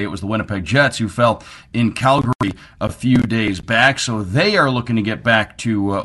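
A man's voice: mid-range voice, fairly bright tone. Man hosting a sports radio show. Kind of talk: upbeat sports radio talk.

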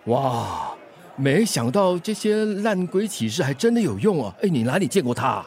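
A man's voice: on the high side, big pitch swings, moderate pace, a little mellow. A man with a Singaporean accent acting a gossipy, wry coffee-shop regular in a radio drama, colloquial and exclamatory. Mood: surprised, curious.

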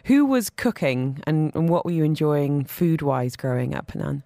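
A woman's voice: low-pitched, expressive, normal speed, vocal fry, dark tone, no echo, clean studio sound. A woman hosting an interview in a chatty, inquisitive, conversational way. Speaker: interviewer, host.

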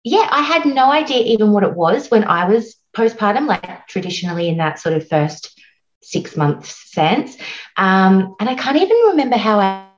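A woman's voice: mid-range voice, big pitch swings, normal speed, highs cut off. An Australian woman in her thirties, a guest on a podcast, telling her story in a chatty way with lots of "like" and "um". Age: 30s. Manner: warm, chatty, frequent "like" and "um". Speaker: guest, postpartum doula. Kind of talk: casual interview storytelling.

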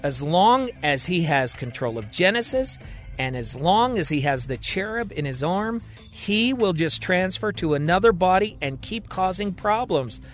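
A man's voice: sits high, big pitch swings, a little slow, faint music behind, pitched above the performer's own voice. A man voicing a solemn man who claims to be an alien, speaking in a firm, grave way.

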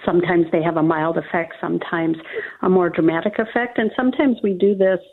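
A woman's voice: fairly low pitch, steady tone, fairly quick. A woman veterinarian with a Minnesota accent, giving practical pet advice as a guest on a radio call-in show, with many ums and uhs. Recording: phone-line call.

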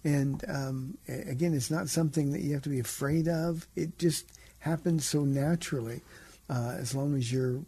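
An older man with a soft, gravelly voice and a dark, mellow tone. He chats as a pastor on a radio show, gently teaching in an easy, conversational way. His voice sounds slightly husky. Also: on the high side, normal speed. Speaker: pastor, co-host.

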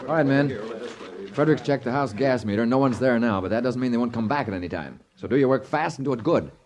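An actor playing a brisk, confident FBI team leader in 1950s radio drama style, with a practical manner. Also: male voice, medium pitch, lively intonation, a crowd in the background, natural voice. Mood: brisk, ordering. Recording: old 1950s radio recording.

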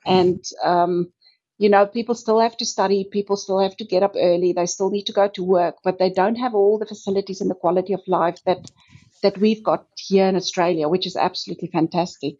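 A woman's voice: mid-range voice, fairly even intonation, mellow. Upbeat South African woman, muffled line. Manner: upbeat, enthusiastic, thoughtful pauses. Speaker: guest, energy executive. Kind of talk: podcast interview.

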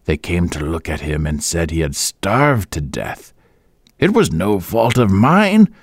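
A man voicing a deaf, sly old woman landlady who speaks evasively in a high, wavering voice. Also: big pitch swings, unhurried, breathy edge, no echo, studio quality, full character voice.

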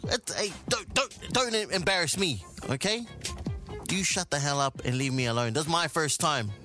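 A man's voice: a little high, expressive, normal speed, sharp tone. A man with a New Zealand accent hosting a radio show with casual, joking, slangy banter into a close studio mic. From South Auckland, New Zealand. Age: adult. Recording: music bed behind the voice.

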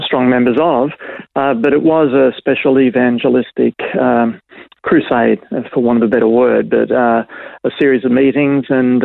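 A man's voice: mid-range voice, creaky, no room sound. A middle-aged Australian man, a pastor, talking in a casual interview, hesitant and full of fillers, over a tinny phone line. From Brisbane, Australia. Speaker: pastor and guest.

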